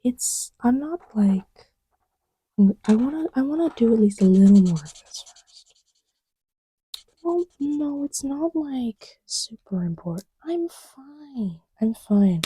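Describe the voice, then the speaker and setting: A woman playing an easygoing girlfriend in a soft ASMR roleplay, rambling hesitantly in a breathy murmur that often drops to a whisper. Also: on the high side, sing-song, takes it slowly, dry recording, heavily acted, rough recording. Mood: mildly defensive. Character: easygoing girlfriend chatting idly while testing markers.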